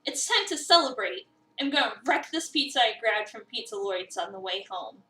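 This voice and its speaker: Animated, high-voiced woman reading aloud. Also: moderate pace, distant mic. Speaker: streamer reading a visual novel aloud. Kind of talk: dramatic reading of a game script with casual chat asides. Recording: roomy echo, away from the mic.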